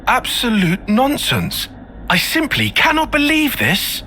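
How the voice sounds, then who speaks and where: Casting: fussy young game strategist, formal diction, lively pitch and crisp bright tone. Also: male voice, slow pace, a little hiss or hum, exaggerated voice. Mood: prim, concerned. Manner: precise, formal. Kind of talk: video game cutscene acting.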